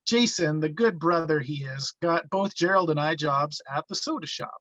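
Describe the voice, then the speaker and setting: A man's voice: fairly high pitch, moderate pace, fairly bright tone, no room sound. A man playing a wry narrator who recalls boyhood in first person, reading from a script.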